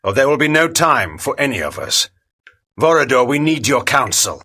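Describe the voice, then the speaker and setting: An actor playing a proud, cunning vampire noble in a video game, with a theatrical, formal and imperious manner. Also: male voice, mid-range voice, very animated, normal speed, bright, forceful tone, husky, full character voice. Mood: commanding, urgent. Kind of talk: dramatic video game cutscene acting.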